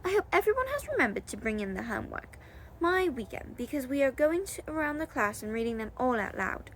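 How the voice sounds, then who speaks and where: A woman's voice: high voice, sing-song, fairly quick. A woman voicing a strict female schoolteacher with a commanding manner, in a storybook reading. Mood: announcing. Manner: stern, commanding. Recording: clear background noise.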